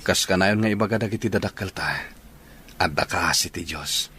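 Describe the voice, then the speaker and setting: A low-pitched actor as a devoted young father in a radio drama. He sounds weary yet respectful and earnest, a man with a sick child to care for. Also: moderate pace, pushed and bright, slight background noise. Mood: grateful, tired.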